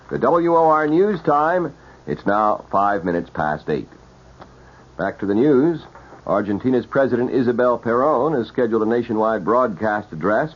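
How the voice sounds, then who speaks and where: A man reading a scripted 1970s radio newscast as an anchor, with a formal, measured announcer delivery. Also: on the low side, husky. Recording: dull, muffled vintage radio broadcast.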